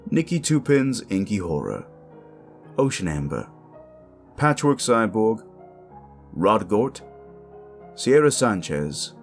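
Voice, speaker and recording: A man with a fairly low voice talks casually as host of his own audio channel. His intonation moves up and down in a lively way as he addresses his listeners. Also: creaky.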